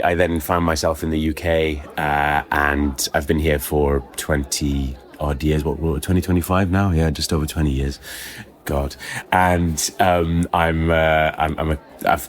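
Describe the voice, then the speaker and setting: A man telling a personal story as a podcast guest, conversational, with a hesitant, halting delivery. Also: a bass voice. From born in Kuwait, moved around the world. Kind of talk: conversational anecdote.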